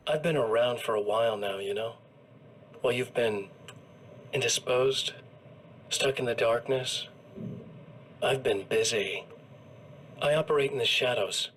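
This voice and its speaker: A man's voice: medium pitch, fairly bright tone, slight background noise, natural voice. An actor playing a gleeful, menacing evil doppelganger in a video game cutscene, taunting and drawing out his words in mockery. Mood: smug. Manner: taunting, drawn-out and mocking.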